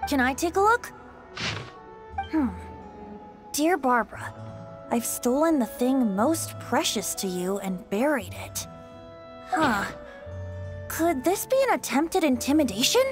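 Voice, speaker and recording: Youthful bard boy female actor: high, slow, breezy, easygoing, anime game, music behind. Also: sing-song. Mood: curious. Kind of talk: anime video game voice acting.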